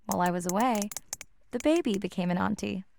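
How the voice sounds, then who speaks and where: A woman playing a caring, reflective young marine scientist in a video game, speaking naturally and intimately with a slightly gravelly edge. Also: medium pitch, brisk, background noise, close to an everyday voice. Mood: fond, light.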